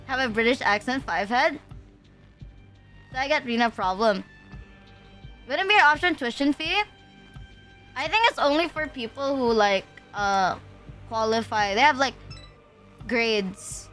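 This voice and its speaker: Young woman streamer, high bright voice, rambling casual chat, faint music underneath. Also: unhurried, pauses often. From Philippines. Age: young adult. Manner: rambling, filler-heavy, slangy.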